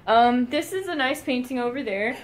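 Young woman, high voice, bubbly and giggly, phone at arm's length, faint background hiss. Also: animated, normal speed. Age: young adult. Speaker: vlogger. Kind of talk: casual vlog chat. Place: car, then small hotel room. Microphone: phone held at arm's length.

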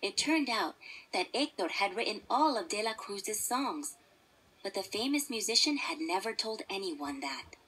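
A woman's voice: mid-range voice, expressive, moderate pace, sharp tone, slightly rough, rough recording. A woman narrating a children's story from a script, as a read-along storybook narrator. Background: instrumental music.

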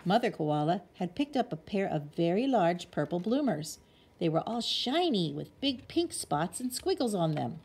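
A woman reads a bedtime story aloud in a lowish, slightly husky voice. Her pitch moves around in a lively, expressive way as she tells it. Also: moderate pace. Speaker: storyteller reading a picture book.

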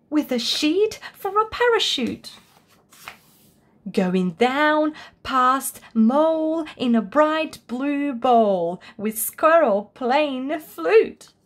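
Slow, lively high-voiced woman storyteller. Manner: expressive, deliberate storytime delivery. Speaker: storyteller reading a picture book aloud. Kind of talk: scripted children's story narration.

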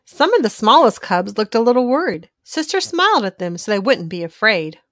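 Lively, higher-pitched woman reading a storybook. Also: a little fast, no room sound, studio quality. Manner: animated, clearly paced storytelling for young children. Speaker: children's storybook reader. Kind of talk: expressive scripted read-aloud narration.

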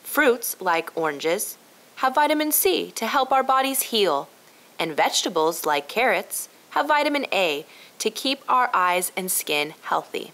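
Kindly storybook farmer man voiced by a woman, instructive and earnest, lively melodic delivery. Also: mid-range voice, a little slow. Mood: proud, instructive. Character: kindly, hardworking male farmer explaining his crops.

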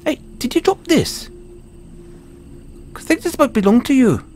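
A man's voice: very high voice, sing-song, moderate pace, a little mellow, slight background noise. A man with a British accent playing a cocky, foul-mouthed, stammering Dullahan social media wannabe in a comedic audio roleplay. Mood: indignant, exasperated. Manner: stammering, sweary, boastful.

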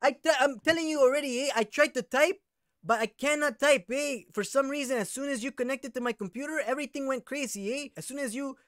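A man's voice: very high voice, fast, a bit bright, no room sound, clean studio sound. A man voicing a touchy, hot-tempered Chicano homeboy, who argues and stalls while tagging his lines with "eh?" and slang. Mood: exasperated. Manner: tags lines with "eh?" and "Vattu", drawling slang; argumentative, stalling, plays wounded.

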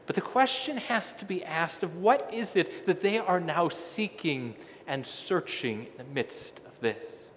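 A man's voice: high-pitched, expressive, measured pace, hardly any pauses, slight background noise. A middle-aged man preaching a sermon as a pastor, emphatic and repeating phrases as he swells and falls in volume. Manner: emphatic, repetitive phrasing, swelling loud then dropping soft. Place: large church sanctuary, indoors. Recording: long church reverb.

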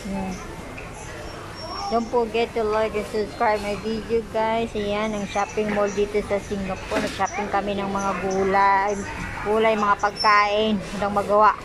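A woman's voice: medium pitch, flat delivery, normal speed, crowd noise. A woman narrating a casual vlog on her phone while she shops in a supermarket, chatty and laughing often. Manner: chatty, mixes English and Filipino, laughs often. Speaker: vlogger. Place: large indoor supermarket. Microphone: phone camera held while walking.

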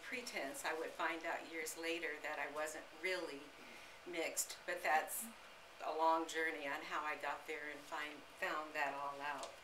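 A woman's voice: low voice, background noise. American woman of sixty, roomy distant recording. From United States. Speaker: guest speaker. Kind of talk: autobiographical talk to a live gathering. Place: indoor living-room-style salon. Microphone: camera a few metres away.

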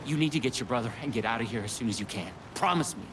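An actor playing a middle-aged, paranoid, protective sheriff in a video game, halting and stammering. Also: male voice, animated, close to an everyday voice. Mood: urgent, worried. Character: shaken, paranoid small-town male sheriff, protective. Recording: rumbling noise behind the voice.

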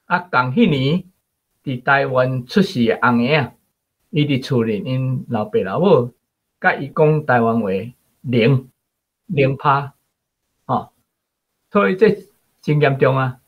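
An older man with a Taiwanese accent gives emphatic commentary, repeating himself for stress. His voice is husky and mid-pitched on a dull, lo-fi recording. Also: animated, unhurried, long pauses. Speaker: guest commentator. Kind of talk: unscripted commentary.

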